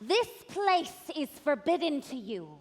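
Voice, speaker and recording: Brief: woman as a blustering goat guardian in a stage play, high and drawn-out, long hall echo. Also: sing-song. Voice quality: gruff, blustering. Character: gruff boastful mountain goat guardian, male. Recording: stage voice in a reverberant hall with music.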